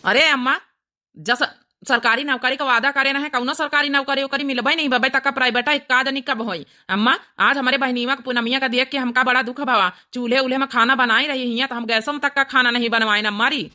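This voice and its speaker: Cartoon son , female performer: Awadhi accent, bright slightly high voice, aggrieved, plaintive, worried about his sister. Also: steady tone, fairly quick. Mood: upset, complaining. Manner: plaintive, complaining. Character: aggrieved, worried brother complaining to his mother. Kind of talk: cartoon family drama dialogue.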